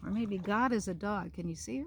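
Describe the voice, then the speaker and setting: A woman reading a children's picture book aloud outdoors, with expressive phrasing and casual asides. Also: medium pitch, normal speed, gravelly, breathy edge. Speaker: storyteller, pastor. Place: outdoors in a park.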